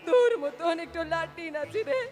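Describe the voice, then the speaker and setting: A woman's voice: extremely high pitch, lively intonation, moderate pace, darkish tone, faint music behind. A woman playing an older, quarrelsome and tearful housemaid who stammers and speaks Bengali with a Hindi-influenced accent. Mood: sorrowful. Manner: stammering, wailing, agitated. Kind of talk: dramatised play reading.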